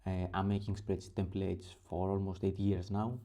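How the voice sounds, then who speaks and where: A man with a Greek accent talks through a spreadsheet tutorial in a very dark, low voice. He speaks English as a second language, pausing often between short phrases with plenty of fillers. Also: flat delivery. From Greece. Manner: halting, with many pauses and fillers. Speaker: template creator. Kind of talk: screen-recorded software tutorial.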